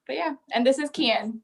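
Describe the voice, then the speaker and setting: A woman's voice: high-pitched, creaky. A woman, from Peru, introducing herself on a video call in a friendly way. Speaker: guest. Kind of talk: casual self-introduction.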